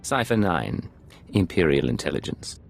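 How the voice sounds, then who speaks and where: An actor playing a cool, defiant intelligence agent in a video game, terse and confrontational. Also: male voice, deep voice, sing-song, moderate pace, vocal fry, exaggerated voice. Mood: urgent. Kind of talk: dramatic video game voice acting.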